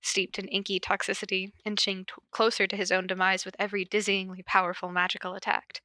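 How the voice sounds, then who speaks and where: A woman's voice: mid-range voice, flat delivery, quick pace, bright, forceful tone, dry recording. A woman podcast host cold-reading a story aloud, with casual, self-deprecating asides.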